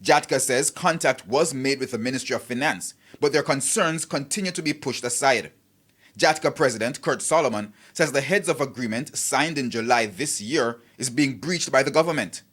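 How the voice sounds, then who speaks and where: A man's voice: medium pitch, normal speed, a bit bright. A man with a Jamaican accent narrating a scripted television news report.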